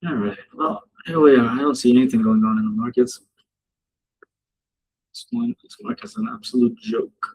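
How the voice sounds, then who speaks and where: A man with a gravelly, husky voice runs a relaxed live session on the markets. He jokes with his viewers and laughs often. His talk wanders easily from the charts to small talk. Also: mid-range voice, normal speed, long pauses, low-quality recording. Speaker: trading mentor hosting a live session. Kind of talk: casual live-stream commentary. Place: home office in Dubai.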